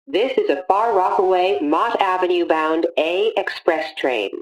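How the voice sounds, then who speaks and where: A woman reading scripted automated subway announcements in a formal, measured, phrase-by-phrase manner. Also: on the low side, lo-fi sound, soft background music.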